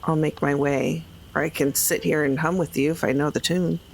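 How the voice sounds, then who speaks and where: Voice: mid-range voice, steady tone, normal speed, creaky. An adult player speaking casually in character during a tabletop roleplaying game. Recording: faint background ambient noise.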